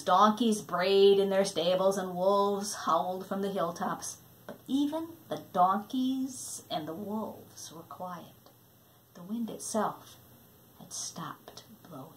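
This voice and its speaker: Woman reading a children's story expressively. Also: mid-range voice. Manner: dramatic, hushing at tender or suspenseful moments. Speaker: storybook reader.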